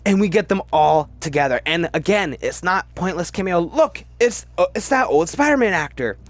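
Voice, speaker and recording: A man's voice: sits high, expressive, normal speed, dry recording. A man giving casual, opinionated commentary as host of a film ranking video, with gushing fan enthusiasm and repeated words for emphasis.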